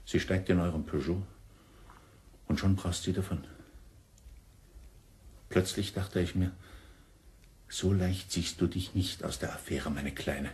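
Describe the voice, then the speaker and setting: A man acting in a German radio crime drama as an insistent friend confessing grim secrets, deliberate, dramatic and pitying. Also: sits low, fairly even intonation, a little mellow. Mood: confiding, intense.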